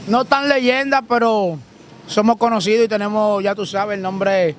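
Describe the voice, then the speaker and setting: A young man with a strikingly high voice brags in street slang. He speaks into an interviewer's handheld mic out on a city street. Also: steady tone, measured pace, a little hiss or hum. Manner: boastful, slangy, playful bravado. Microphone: handheld mic held by interviewer.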